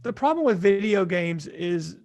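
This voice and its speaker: Creaky, higher-pitched man chatting casually. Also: lively intonation, moderate pace, darkish tone, no room sound. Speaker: podcast co-host. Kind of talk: casual group banter about films and games.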